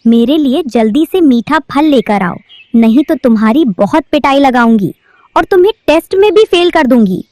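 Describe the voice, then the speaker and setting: A woman's voice: high voice, animated, moderate pace, darkish tone, no room sound. A woman voicing a bossy, greedy female crow teacher in a cartoon, with a scolding manner. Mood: threatening. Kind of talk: cartoon voice acting.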